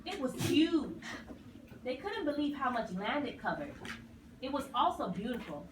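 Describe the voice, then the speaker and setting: A woman's voice: sits high, sing-song, moderate pace. A woman narrating a scripted children's puppet story. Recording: roomy, distant mic, clear noise.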